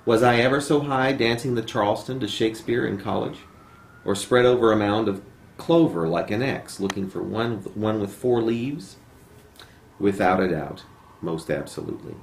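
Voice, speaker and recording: A man's voice: fairly low pitch, moderate pace, creaky. A man reading poetry aloud as a poet at a live home reading. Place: room in a home. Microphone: camera microphone, no dedicated mic. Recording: roomy echo, faint noise, amateur video.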